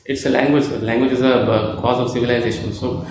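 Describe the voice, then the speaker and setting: Young male interview candidate with an Indian accent, halting and polite, heavy fillers, in a roomy echoing space. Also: medium pitch, steady tone, crowd noise. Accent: Indian English. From Bihar, India. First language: Hindi. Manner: hesitant, polite, many fillers, says sir often. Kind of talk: formal exam interview answers.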